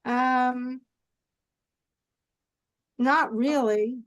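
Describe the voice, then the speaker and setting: A woman's voice: high voice, steady tone, very slow. A woman taking the part of a caller seeking help in a role-played peer-support call.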